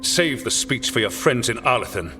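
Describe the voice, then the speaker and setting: An actor playing a stern, imperious military commander in a video game, scornful, clipped and commanding. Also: male voice, medium pitch, lively intonation, moderate pace, natural voice. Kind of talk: dramatic video game cutscene acting. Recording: reverberant hall, faint music behind.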